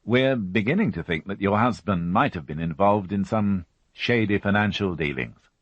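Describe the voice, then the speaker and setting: A man voicing a patient, weary male chief inspector who questions calmly and evenly, in a storybook reading. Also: fairly low pitch, moderate pace, dry recording, pitched above the performer's own voice. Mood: questioning. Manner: calm, level questioning. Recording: dull, dry studio audiobook.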